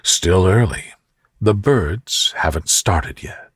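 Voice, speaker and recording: A man voicing a devoted, tender gentleman in love, murmuring softly for a romantic bedtime story. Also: animated, slow pace, husky, no echo, clean studio sound, exaggerated voice. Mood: drowsy, warm. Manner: low, soft murmur.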